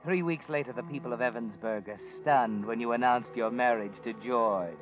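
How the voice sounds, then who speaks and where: A man's voice: medium pitch, moderate pace. Man narrating an old radio drama. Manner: knowing, probing. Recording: tinny old radio broadcast with music.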